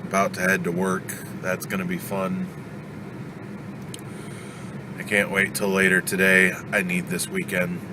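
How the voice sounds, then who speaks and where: A man's voice: deep voice, level tone, normal speed, noisy background. A man with a stuffed-up cold talking casually in a daily vlog, venting his frustration. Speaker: vlogger.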